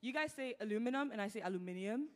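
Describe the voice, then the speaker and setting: A woman's voice: a little high, lively intonation, fast talker, a little mellow. A teenage student girl from Nigeria with a British-tinged Nigerian accent, chatting casually on a church stage into a handheld mic, full of "like" fillers. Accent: British-tinged Nigerian English. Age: teens. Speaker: student guest. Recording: long reverb of a large hall.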